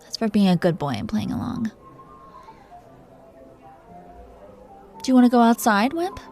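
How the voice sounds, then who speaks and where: A woman's voice: a little high, sing-song, quick pace, darkish tone, faint music behind. A woman voicing a seductive, possessive dragon queen in an intimate romantic roleplay audio, teasing and commanding as she flirts with pet names. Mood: seductive, bossy.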